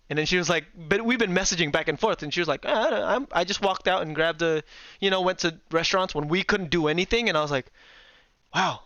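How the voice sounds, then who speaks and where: A young man from Indonesia hosting a casual online chat, telling lively stories, acting out family arguments and laughing often. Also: fairly high pitch, dry recording, dull audio. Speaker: host.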